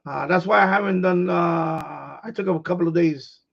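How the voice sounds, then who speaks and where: A middle-aged man from New York with a New York accent, hoarse, chatting casually on his livestream and restarting his phrases as an eager sports fan. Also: high-pitched, normal speed. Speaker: livestream host. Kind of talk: casual rambling chat. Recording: dull lo-fi stream audio.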